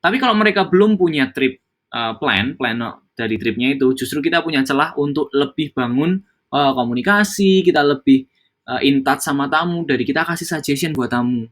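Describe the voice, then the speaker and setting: A young man from Java walks his coworkers through guest service in a loose, conversational training session. He drifts between casual speech and English buzzwords, often pausing with fillers and restarting sentences. His voice sits at an ordinary middle pitch. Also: expressive, a bit bright. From Java, Indonesia. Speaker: hospitality staff trainer. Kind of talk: internal training talk.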